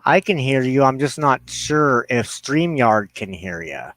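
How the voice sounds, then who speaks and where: A man's voice: medium pitch, big pitch swings, measured pace, a bit bright. A man hosting a live show, chatting casually to viewers in a slangy, rambling way into a headset mic. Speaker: livestream host of a spiritual ministry show. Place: inside a trailer.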